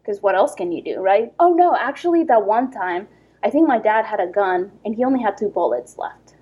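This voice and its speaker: A woman in her thirties from Ecuador, a podcast guest telling a story in casual chat, lively and full of fillers. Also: medium pitch, brisk, breathy edge, lo-fi sound. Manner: lively, animated retelling with many fillers.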